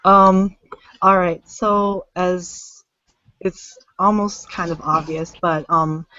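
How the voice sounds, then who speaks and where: A woman author from the United States talking casually on an online panel, full of fillers and quick self-corrections. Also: on the low side, steady tone, pushed and bright, a little hiss or hum. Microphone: video call. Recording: dull lo-fi online call audio.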